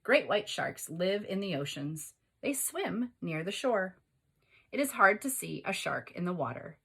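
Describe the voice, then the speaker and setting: A woman's voice: on the low side, very animated, unhurried, gravelly, fairly bright tone, slightly rough. A woman teacher reading aloud and explaining to young children, instructing them with questions and prompts. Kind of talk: expressive read-aloud and lesson for young children.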